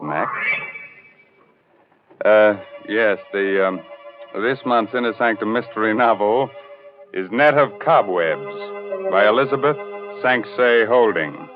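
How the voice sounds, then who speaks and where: Slow, low-pitched, mock-sinister radio host. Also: male voice. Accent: American. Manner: macabre, mock-sinister, punning. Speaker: host of a radio mystery show. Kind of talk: 1940s radio horror hosting. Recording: tinny 1940s radio recording, faint music.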